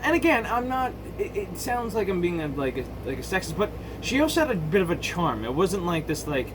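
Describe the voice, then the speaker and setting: A man with a slightly high voice talks off the cuff in casual, opinionated commentary. He stops, restarts and circles back on his points, with blunt slang and swearing. Clear background noise runs under the recording. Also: sing-song. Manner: halting, self-interrupting, circling back to rephrase. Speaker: video game movie commentator.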